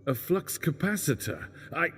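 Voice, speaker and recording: A man playing a scruffy, sharp-witted castaway from a crashed spaceship in a fan sci-fi audio drama, guarded and probing in manner. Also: on the high side, sing-song, moderate pace, husky, full character voice. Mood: baffled, curious. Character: scruffy stranded male alien spaceship crewman, wary, sharp-witted.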